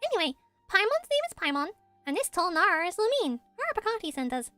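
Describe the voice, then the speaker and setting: An excitable little fairy girl sidekick from a fantasy game, played by a woman. The voice is very high and wildly animated, chirpy and blunt. It is recorded dry, with music underneath. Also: fast talker, no room sound, exaggerated voice. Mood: chirpy, explaining. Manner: excitable, refers to herself in third person.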